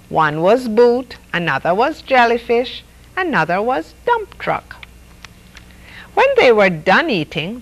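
A woman's voice: mid-range voice, a bit bright, low-quality recording. A middle-aged woman reading a children's story aloud expressively, acting out the characters' lines as she goes. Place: studio set dressed as a reading nook with stuffed toys.

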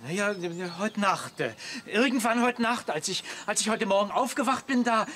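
Radio play role: hapless, stammering kiosk keeper, high and sharp-edged voice. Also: male voice, expressive, moderate pace, a little hiss or hum. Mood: sheepish, defensive. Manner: stammering, defensive. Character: sheepish, hapless kiosk man Pröter. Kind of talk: German radio play acting.

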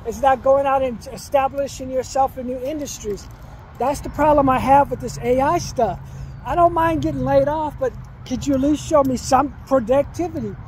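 High-voiced man in his fifties preaching. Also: moderate pace, a little mellow, slight background noise, low-quality recording. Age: 50s. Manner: preachy, repeats himself, urgent advice. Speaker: vlogger. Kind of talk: walking selfie vlog. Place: outdoors by a commercial complex, trees and sky. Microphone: phone held at arm's length while walking.

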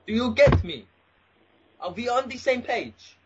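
Man acting an angry high-pitched mayor's son. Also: lively intonation, unhurried, noisy background. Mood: angry, threatening. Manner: swearing, threatening, indignant. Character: angry, crude, entitled young mayor's son making demands. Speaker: prank caller. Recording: dull lo-fi phone call.

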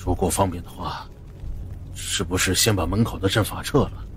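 A man's voice: deep voice, steady tone, moderate pace, slight background noise, close to an everyday voice. A man voicing a wary, composed leader of an occult committee in an audiobook drama. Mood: resigned, composed. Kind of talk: dramatized audiobook character acting.

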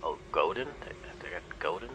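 A man's voice: low voice, lively intonation, fairly quick, heavy background noise. A young man giving casual, off-the-cuff gameplay commentary as a gaming YouTuber.

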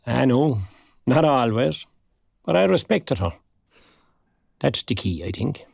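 An older Irish man in an audio drama. His voice is gravelly and creaky, recorded close and dry. He talks with proud, kindly, wry humour, like a retiree set in his ways. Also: medium pitch, sing-song, slow pace, no room sound, heavily acted. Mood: reflective. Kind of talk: naturalistic audio drama dialogue.

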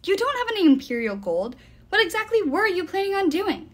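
A brooding teenage boy in an audiobook, played by a woman. His voice is raised in pitch and curt. He is sharp-tongued, wounded and full of reproach. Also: sing-song, a little fast. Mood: hurt, angry.

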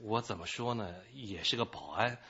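A man's voice: fairly low pitch, normal speed, bright, forceful tone, slightly rough, muffled recording, heavily acted. An actor playing a hesitant, deferential male security guard in a radio drama, stammering and hedging with many interjections. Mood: nervous hedging.

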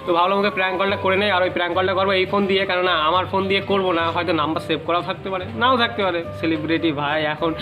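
Fast, high-voiced young Bengali man. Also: little pitch movement, over music. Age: 20s. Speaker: prank-call video host. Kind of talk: casual vlog chat and prank calling. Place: outdoors beside a wall and metal shutter.

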